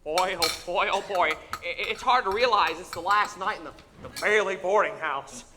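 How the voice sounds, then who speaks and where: Brief: young man as high, bright, stammering dreamer, 1940s radio style, hall reverb. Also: moderate pace, slight background noise. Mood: eager. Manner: stammering, eager, nervous restarts. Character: restless young man eager to leave town. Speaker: stage actor in a live radio play. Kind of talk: 1940s radio play acting, live on stage. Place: theater stage, live audience. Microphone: standing stage mic. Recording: long hall reverb of a theater.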